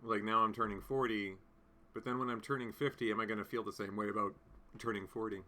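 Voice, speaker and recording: A man's voice: on the low side, steady tone, fast talker, bright, forceful tone. A man in his late thirties hosting a casual live chat from home, rambling with many fillers and restarts as he answers comments. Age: 30s. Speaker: livestream host.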